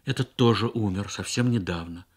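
A man voicing an earnest young woman interpreter in a radio story, explaining in a measured way. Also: lowish voice, husky, pitched below the performer's own voice. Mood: sober, informing. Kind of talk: Soviet radio story reading.

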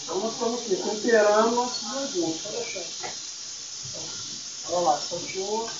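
A man's voice: high voice, normal speed, distant mic. A middle-aged man with a Brazilian accent, a chef giving casual, slangy, hands-on cooking instruction to an apprentice. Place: busy restaurant kitchen, indoors. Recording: echoey, noisy, muffled kitchen pickup from afar. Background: loud clatter of dishes and pans.

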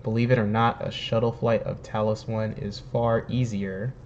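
A young man with a slightly hoarse voice, chatting casually and jokingly while he plays and reads game text aloud. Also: on the low side, little pitch movement, normal speed, darkish tone, muffled recording, slight background noise. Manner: chatty, joking, laughs at himself. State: slightly hoarse, says he is sick and losing his voice. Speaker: streamer playing a video game. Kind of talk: casual let's-play commentary, reading in-game text aloud. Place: home room, webcam in corner.

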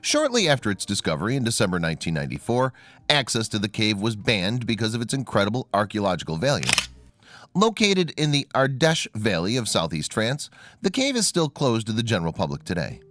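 A man reading a scripted documentary narration in a measured, expressive way. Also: fairly low pitch. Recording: voice-over with faint music behind.

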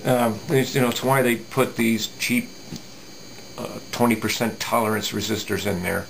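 A middle-aged man giving a casual hands-on technical explanation, thinking aloud haltingly with folksy asides. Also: lowish voice, fairly even intonation, creaky, a little hiss or hum, poor audio. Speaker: radio repair hobbyist presenting. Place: indoor electronics workbench.

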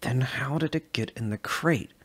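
A man voicing a wary male cargo hauler who keeps his voice to a hushed whisper. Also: medium pitch, moderate pace, breathy edge.

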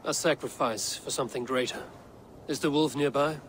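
An actor playing a weary, calculating Norse god-warrior in a video game, speaking in a solemn, measured, formal way. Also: male voice, mid-range voice, a little hiss or hum, natural voice. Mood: cold, calculating. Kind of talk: cinematic video game acting.

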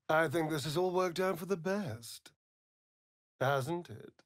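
An actor playing a sly, vain, sardonic vampire rogue in a video game, with an arch, theatrical drawl. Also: male voice, fairly high pitch, drawn-out words, no room sound, studio quality. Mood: sly, amused. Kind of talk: video game character voice lines.

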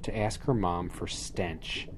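Deep-voiced, unhurried man reading a storybook. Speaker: storybook reader. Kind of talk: rhyming children's story read-aloud. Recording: clearly noisy added ambient sound effects. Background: sound effects, ambience, animal sounds.

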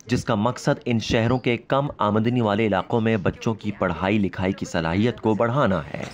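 A man narrating a scripted news report in a measured, formal voice. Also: fairly low pitch, dull audio.

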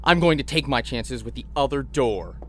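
A man's voice: medium pitch, animated, normal speed, a bit bright, a little hiss or hum, lightly acted. An actor playing an indignant, panicky man with a melodramatic, exclamatory delivery in a comedy audio drama. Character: indignant, panicky man, a righteous customer prone to screaming.

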